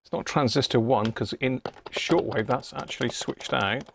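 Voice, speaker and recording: A man's voice: medium pitch, moderate pace, gravelly, a bit bright, slight background noise. A British man muttering and thinking aloud in casual live commentary while working at a bench, as a stream host.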